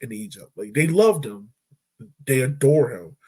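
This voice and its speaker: A man hosting a podcast, chatting about football in a relaxed, slangy way, full of "like". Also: medium pitch, unhurried, husky. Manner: relaxed, slangy, repeats phrases with "like". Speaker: podcast host. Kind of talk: casual sports chat.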